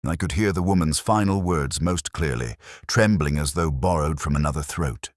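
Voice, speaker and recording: A man reading a first-person detective story as narrator, in a measured, brooding, reflective way, close to a studio mic. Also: a bass voice, no echo.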